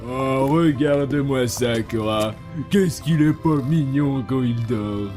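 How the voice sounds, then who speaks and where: A man's voice: medium pitch, takes it slowly, a little mellow, faint music behind, close to an everyday voice. A man playing a bossy, impatient military commander, barking theatrically in an amateur audio drama. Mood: mock tenderness. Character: bossy, impatient male military commander, drill-sergeant bluster.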